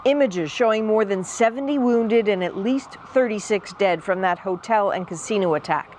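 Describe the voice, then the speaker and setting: A male correspondent narrating a scripted news report in a steady voice. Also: high voice, normal speed, very noisy.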